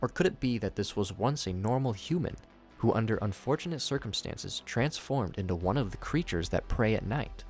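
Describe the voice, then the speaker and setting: A man's voice: on the low side, animated, normal speed, darkish tone, faint music behind. A man narrating scripted commentary as a video reviewer. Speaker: YouTube narrator reviewing horror series.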